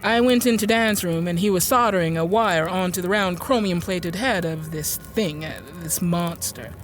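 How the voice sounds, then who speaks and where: An actress playing a stern, uneasy woman recounting a dark tale in an audio drama, with a hesitant, insistent delivery. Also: lowish voice, moderate pace, a little hiss or hum, close to an everyday voice. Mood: uneasy, probing. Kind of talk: audio drama monologue and dialogue.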